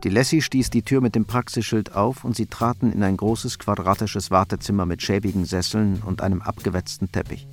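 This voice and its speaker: A man's voice: on the low side, fairly even intonation, brisk, hardly any pauses, soft background music. A man reading scripted narration for a crime radio drama.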